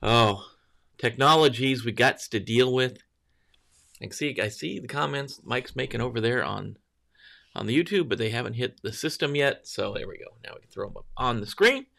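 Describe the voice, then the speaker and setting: A man's voice: medium pitch, lively intonation, moderate pace, vocal fry, a bit bright. A man hosting a casual morning livestream, greeting viewers by name and rambling loosely while correcting himself. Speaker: livestream host.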